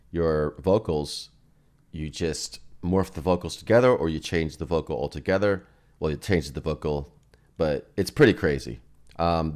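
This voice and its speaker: A man giving a casual software walkthrough as presenter, thinking aloud in an informal way. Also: low-pitched, clear, resonant tone. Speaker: presenter demonstrating music software.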